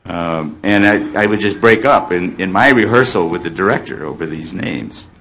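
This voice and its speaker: Older American man, low gravelly voice, panel reminiscence, audible room noise. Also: normal speed, a bit bright. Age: 70s. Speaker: former child radio actor on a panel. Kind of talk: reminiscing to an audience, taking questions. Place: hotel meeting room, panel table. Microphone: table microphone on stand. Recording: noisy hotel meeting-room amplification.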